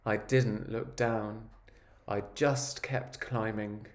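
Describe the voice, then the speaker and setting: A man voicing an earnest, wistful egg who tells his own story in a measured, reflective picture-book narration. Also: on the low side, fairly even intonation. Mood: resolute.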